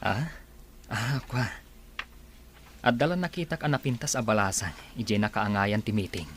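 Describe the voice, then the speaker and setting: A man playing a distracted, lovestruck male boss in a radio drama, with a husky voice. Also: on the low side, moderate pace, fairly bright tone, slight background noise, close to an everyday voice. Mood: dreamy, distracted. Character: distracted male boss smitten with a simple pretty woman.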